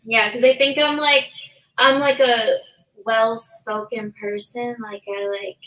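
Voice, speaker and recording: A woman's voice: fairly high pitch, steady tone, slow, a bit bright. A woman co-hosting a podcast, chatting casually in a rambling, foul-mouthed way. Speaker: podcast co-host. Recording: echoey room, distant from mic.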